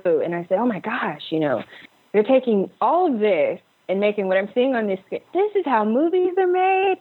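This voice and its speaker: A woman's voice: mid-range voice, slightly rough. A young woman from Houston, Texas, talking conversationally as an interview guest on a lo-fi connection. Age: young adult. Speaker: interview guest, actor and director.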